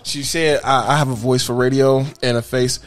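A man co-hosting a podcast from a home studio, joking loudly and laughing often in crude casual banter. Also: medium pitch, steady tone, normal speed. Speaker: podcast co-host. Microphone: studio mic on desk stand, headphones.

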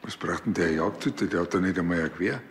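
A man's voice: deep voice, quick pace, slight background noise, natural voice. A man playing a blunt Bavarian cop, echoey sound. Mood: grumbling. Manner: blunt, colloquial. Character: blunt, grumbling male small-town police officer. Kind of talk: TV crime comedy acting. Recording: echoey rooms with faint music.

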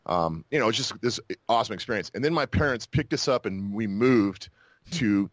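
An American man in a casual interview, telling his story in a reflective, halting, conversational way. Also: fairly low pitch, animated, no room sound. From Utah and New Mexico, United States. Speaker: podcast guest, actor and army veteran.

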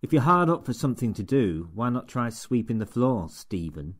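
A man's voice: medium pitch, very animated, moderate pace, pitched above the performer's own voice. A man doing a sour, sneering shop boss. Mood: contemptuous. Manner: sneering, sarcastic, bossy. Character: sour, contemptuous older male barber-shop boss.

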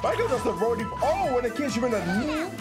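A young man giving casual, slangy, excitable reaction commentary with some swearing, as a streamer at home. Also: very high-pitched, fast talker. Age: young adult. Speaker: reaction video host and streamer. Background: game trailer music and sound.

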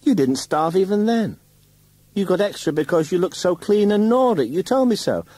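A man reading a novel aloud, putting on the voice of a young woman who speaks with low, controlled contempt and turns to sharp accusation. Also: moderate pace, slightly rough, no echo. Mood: accusing. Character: bitter scornful young woman lashing out.

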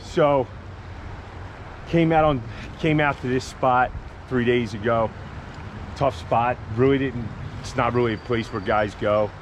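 A man's voice: mid-range voice, a little slow, long pauses. Guy gushing about his catch, keeps restarting, outdoor noise all around. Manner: excited, rambling, restarts sentences. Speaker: fishing buddy. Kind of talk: casual outdoor chat. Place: outdoors by a creek.

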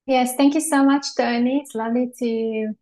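A woman in her forties, from Hungary, talks as a guest on a video call. Her voice sits a little high, and her speech is chatty and loose, full of fillers and restarts. She is recorded at home through an earphone mic. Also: steady tone, moderate pace, darkish tone. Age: 40s. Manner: chatty, many fillers and restarts, laughs often. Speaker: interview guest. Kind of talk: casual video-call interview. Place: bright home room with shelves.

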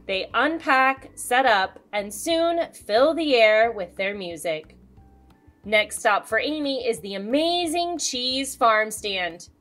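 A woman in her thirties, a children's host reading a picture book aloud with expressive storytelling delivery. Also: a little high, takes it slowly, faint music behind. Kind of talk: storybook read-aloud with asides to young viewers.

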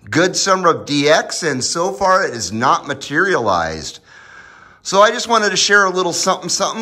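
Casual American male vlogger, folksy and informal, with very varied intonation and a bright edge. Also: fairly high pitch. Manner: folksy, lightly swearing, informal. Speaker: host of a hobby radio channel. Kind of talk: casual project vlog narration. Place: home garage, indoors.

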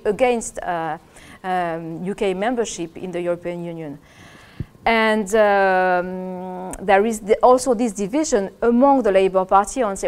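A woman's voice: mid-range voice, darkish tone. A woman in her fifties, an economist answering audience questions in a seminar room, thoughtful and hesitant with many restarts. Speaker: economist giving a seminar talk.

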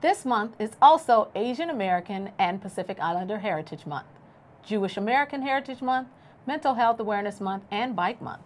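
A middle-aged woman, a teacher co-hosting a school news show, reading announcements in a measured, careful way from a studio desk. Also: medium pitch, lively intonation.

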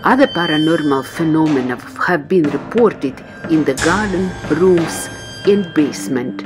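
Low-voiced woman narrating a hotel tour. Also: unhurried. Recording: voice-over with loud music behind.

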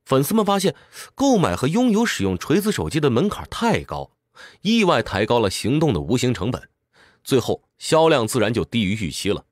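A man's voice: medium pitch, no echo, studio quality. A man giving a scripted explanatory narration in a didactic way, speaking directly to the listener. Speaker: audiobook summary narrator.

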